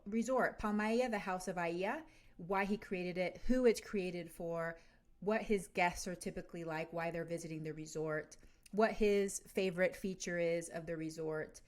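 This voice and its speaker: A woman hosting a podcast, introducing her guest and asking questions in a friendly, upbeat way. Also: mid-range voice. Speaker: podcast host, doctor.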